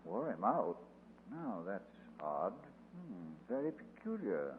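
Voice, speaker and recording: An actor playing a genteel, wisecracking amateur sleuth in a 1940s radio drama, with a dry, fussy wit. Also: male voice, fairly low pitch, very animated, slow pace. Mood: wry. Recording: phone-like old radio broadcast, long hall echo, faint hiss.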